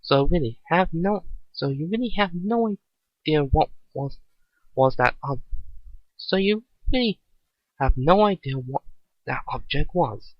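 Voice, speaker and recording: A man voicing a curious, analytical female mechanic in a story reading, probing and deliberate. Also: on the high side, expressive, no room sound, lo-fi sound, voice pushed up.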